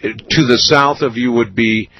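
A man hosting a radio call-in show, speaking in a slow, deliberate, measured way with pauses. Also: mid-range voice, creaky, bright, forceful tone, slightly rough, dull audio. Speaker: radio talk show host. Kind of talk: late-night radio call-in interview.

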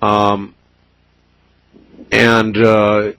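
A man hosting a radio call-in show, speaking in a slow, deliberate, measured way with pauses. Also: fairly low pitch, completely flat delivery, fairly bright tone, clear, resonant tone, poor audio. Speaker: radio talk show host. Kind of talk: late-night radio call-in interview.

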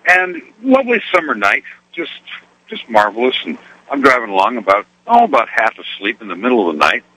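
Male radio caller, unhurried storyteller, very varied intonation, raspy edge, conversational and folksy. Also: mid-range voice, huge pitch swings, bright, forceful tone, rough recording. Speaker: radio show caller, trucker.

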